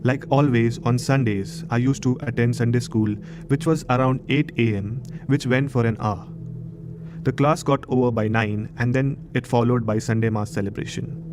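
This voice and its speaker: A man's voice: mid-range voice, fairly even intonation, moderate pace, noisy background. A man in his thirties from Maharashtra, India, with an Indian accent, hosting and narrating a horror story show. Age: 30s.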